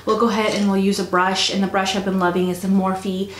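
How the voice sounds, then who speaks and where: A woman with a cold talking casually through a makeup review, chatty and soft-spoken, sometimes close to a whisper. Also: medium pitch, flat delivery, quick pace. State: stuffy, fighting a cold. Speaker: beauty vlogger. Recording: echoey room, faint noise.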